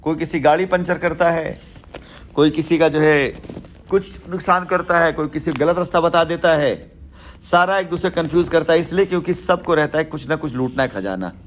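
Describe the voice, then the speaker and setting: A man's voice: fairly high pitch, normal speed, a little hiss or hum. A man talking as a company boss on a recorded business phone call, rhetorical and repetitive, building points with lists and analogies. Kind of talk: informal business phone conversation.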